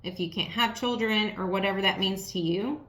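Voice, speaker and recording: A woman with a raspy, worn voice giving a casual tarot reading, talking frankly and straight to the viewer. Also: mid-range voice, brisk, a bit bright. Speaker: tarot reader. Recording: roomy echo.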